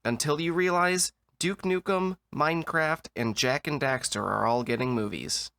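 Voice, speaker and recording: A man delivering scripted comedic video essay narration in a deadpan, sarcastic way. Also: fairly high pitch, normal speed.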